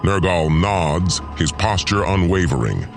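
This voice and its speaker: A man's voice: sits low, expressive, measured pace, vocal fry, music in the background. A man delivering scripted first-person narration for an audio drama in a theatrical, brooding manner.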